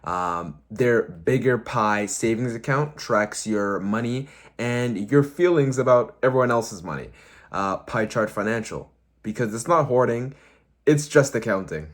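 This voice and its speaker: A man reading a tongue-in-cheek sponsor message for a podcast in a hesitant, deadpan way. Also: medium pitch, measured pace. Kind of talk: tongue-in-cheek scripted ad read.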